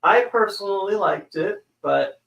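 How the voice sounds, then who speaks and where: A man with a somewhat high, squeaky voice, co-hosting a casual live stream. He jokes around and breaks into playful exclamations. The sound carries a noticeable echo from the room. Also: lively intonation, gravelly, a bit bright, dull audio. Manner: playful, jokey, quick to exclaim.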